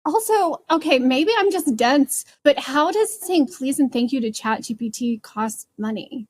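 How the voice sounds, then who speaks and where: Female podcast panelist, high voice, casual conversational banter. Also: expressive, a little slow.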